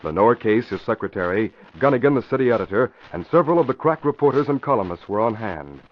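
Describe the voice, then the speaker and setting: Animated male old-time radio announcer, muffled broadcast. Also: medium pitch, fast. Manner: emphatic, polished announcer delivery. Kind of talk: 1940s radio scripted narration and advertising.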